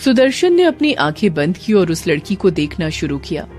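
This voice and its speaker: A woman's voice: lowish voice, sing-song, normal speed, darkish tone, soft background music. A woman narrator delivering dramatic, scripted storytelling for a cartoon.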